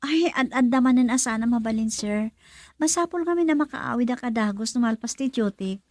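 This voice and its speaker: Polite young waitress, played by a woman, high and bright, dry radio drama. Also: expressive, fairly quick, no room sound, lightly acted. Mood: apologetic. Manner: polite, deferential service talk. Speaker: actress.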